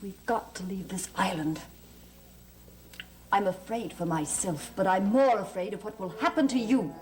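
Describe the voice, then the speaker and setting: A woman's voice: mid-range voice, moderate pace, a little mellow, a little hiss or hum, natural voice. An actress playing a fearful, accusing mother in a gothic soap opera, fretful and melodramatic. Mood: fearful, pleading.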